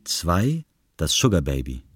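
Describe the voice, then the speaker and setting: A man's voice: extremely low pitch, big pitch swings, unhurried, music in the background. A man narrating scripted stage directions and scene descriptions for an audio drama.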